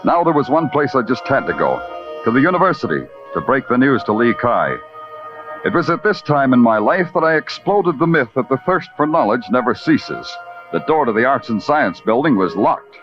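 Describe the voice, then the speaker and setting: An actor playing a hard-boiled American police detective, sardonic and terse, in 1950s radio crime drama style. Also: male voice, medium pitch, sing-song, normal speed, close to an everyday voice. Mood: wry narration. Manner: sardonic, terse, florid noir phrasing. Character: wry, hard-boiled big-city police detective narrating his case. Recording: muffled old radio recording with music.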